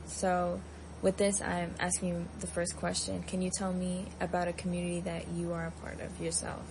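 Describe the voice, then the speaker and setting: Even-toned young woman interviewer, noisy recording. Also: fairly low pitch, normal speed. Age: young adult. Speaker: student interviewer. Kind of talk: scripted interview questions.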